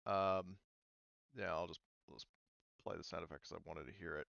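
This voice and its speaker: A man's voice: deep voice, steady tone, a little fast, vocal fry, highs cut off, noisy background. A man running a tabletop game as game master, casually narrating turns and rules, hesitantly thinking aloud as he goes.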